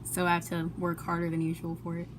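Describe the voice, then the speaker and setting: A woman's voice: lowish voice, completely flat delivery, fast talker, a little hiss or hum. A young adult woman asking questions in a casual, informal chat. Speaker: client asking questions, vlogger.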